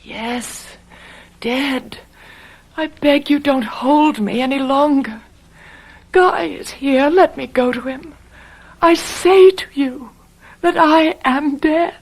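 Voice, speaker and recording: Very slow, mournful undead maiden. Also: female voice, sits high. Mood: mournful pleading. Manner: drawn-out, pleading. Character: mournful undead young woman pleading for release. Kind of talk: old-time radio drama acting.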